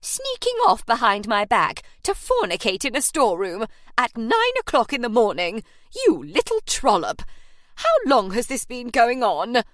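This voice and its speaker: Woman reading as a loud spiteful matron, shrill scolding, storybook villainess, dry close sound. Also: very animated, unhurried, few pauses, dry recording. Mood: outraged. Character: spiteful shrieking middle-aged woman employer.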